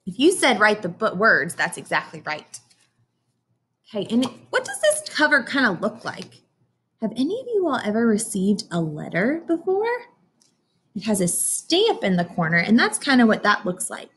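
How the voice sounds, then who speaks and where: A woman's voice: fairly high pitch, huge pitch swings, normal speed, fairly bright tone, a little hiss or hum. A young woman teacher doing a read-aloud lesson, explaining and asking questions as if to young children. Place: classroom, indoors.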